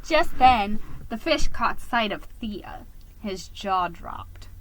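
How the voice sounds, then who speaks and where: A woman giving an expressive, dramatic storybook reading, sometimes stumbling and rereading a phrase. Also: mid-range voice, slow, a little hiss or hum, rough recording. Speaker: amateur reader of a children's book.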